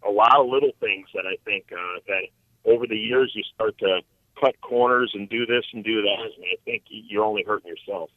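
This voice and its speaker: A man explaining his work as an interview guest in a casual, halting way, restarting his phrases again and again. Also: fairly low pitch, no echo. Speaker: podcast guest, financial advisor. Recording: thin phone-like line, dry.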